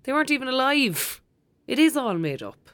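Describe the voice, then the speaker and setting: A woman's voice: sits high, very animated, moderate pace, a bit bright, no echo. Young Irish girl, blunt, sceptical, casual, colloquial, radio play, very dry recording. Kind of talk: naturalistic audio drama acting.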